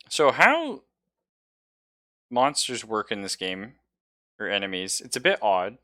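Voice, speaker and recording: Bright, low-voiced man running a tabletop game. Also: very animated, slow, dry recording, studio quality. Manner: explains rules conversationally, describes gory action. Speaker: game master. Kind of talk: casual tabletop game narration and banter.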